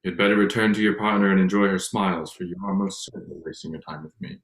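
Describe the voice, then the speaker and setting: An actor playing a haughty, surly young gentleman with a stiff, disdainful manner in a period staged reading. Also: sits low, steady tone, fast talker. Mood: dismissive. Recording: echoey, reverberant room.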